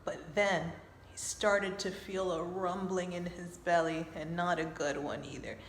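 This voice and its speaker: Echoey room, woman, children's storyteller, very slow pace, expressive, guiding listeners through movements. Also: on the low side. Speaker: storyteller, author reciting own story. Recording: roomy echo, faint background noise.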